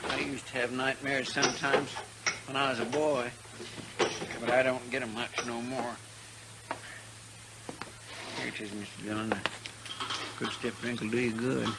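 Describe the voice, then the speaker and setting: An actor playing a loyal, deferential frontier deputy in a radio western, with a rural Western American twang and a rambling, stammering way of talking. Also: male voice, mid-range voice, flat delivery, unhurried, pauses often, natural voice. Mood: concerned, chatty. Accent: American Western rural drawl. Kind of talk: 1950s radio western acting. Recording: noisy vintage 1950s radio broadcast.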